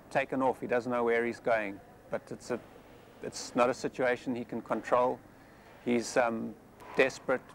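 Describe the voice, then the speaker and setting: A South African man in his forties, a film director talking in a thoughtful, measured way with frequent pauses, recorded outdoors in a busy city square. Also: mid-range voice, creaky, slight background noise. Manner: measured, thoughtful, with many hesitations. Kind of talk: interview monologue.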